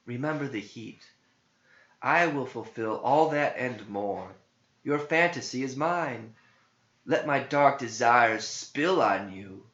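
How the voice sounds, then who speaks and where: Man acting a demon tempter, lustful, coaxing, insistent, raised pitch, verse storytelling, roomy muffled sound. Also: fairly bright tone. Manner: coaxing, urgent. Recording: muffled, echoey home recording.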